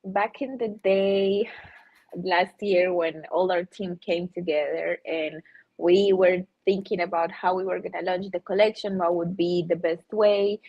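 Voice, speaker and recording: A woman's voice: on the low side, steady tone, a little slow. A woman from Russia who has also lived in Colombia, chatting loosely and enthusiastically as an interview guest on dull, lo-fi audio. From born in Russia, lived in Colombia. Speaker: NFT artist, interview guest. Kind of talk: casual chat.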